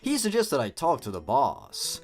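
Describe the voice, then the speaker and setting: A young man presents scripted commentary as a show host. His mid-pitched voice leaps up and down dramatically, with sardonic, exaggerated emphasis. Music plays softly behind him. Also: huge pitch swings, unhurried. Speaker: host of a horror story review channel. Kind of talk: scripted narration and review commentary. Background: background music.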